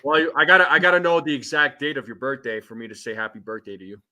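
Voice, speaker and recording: A man's voice: medium pitch, animated, fast talker, pushed and bright. A man co-hosting a sports podcast livestream, upbeat and reading out greetings to the chat. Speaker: podcast co-host. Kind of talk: casual livestream banter.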